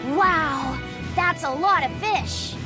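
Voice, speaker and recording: A polite, earnest young boy in a video game cutscene. The childlike voice is very high and takes its time. Music plays clearly behind it. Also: takes it slowly. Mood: curious. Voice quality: youthful, childlike.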